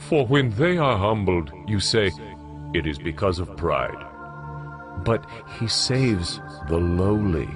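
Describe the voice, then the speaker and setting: An actor playing an earnest, solemn counselor who exhorts and admonishes in a dramatized scripture reading. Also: male voice, on the low side. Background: music.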